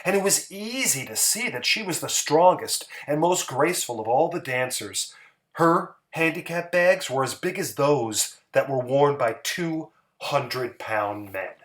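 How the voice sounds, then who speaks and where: A man giving a dramatic story narration, theatrical and emphatic, with pauses for effect. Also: on the high side, animated, a bit bright.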